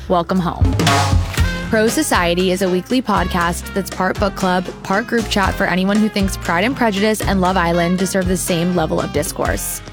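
A woman reading a scripted podcast promo in an upbeat, breezy way. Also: mid-range voice.

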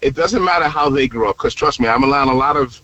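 A man's voice: medium pitch, fast, bright, forceful tone, highs cut off. A man calling a radio show, giving his opinion casually, bluntly and emphatically.

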